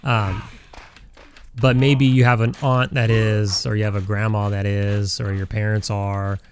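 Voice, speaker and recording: A man's voice: on the low side, flat delivery, measured pace, darkish tone, a little hiss or hum. A man in his forties from Southern California, chatting casually and rambling with lots of fillers while he plays a game. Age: 40s. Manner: rambling, many fillers and restarts. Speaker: gaming streamer.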